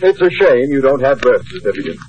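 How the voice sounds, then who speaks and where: An actor playing a teasing, dry-witted American husband with a blustery manner in a 1940s radio sitcom. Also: male voice, medium pitch, sing-song, brisk, natural voice. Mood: wry, teasing. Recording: dull, noisy old radio, loud audience.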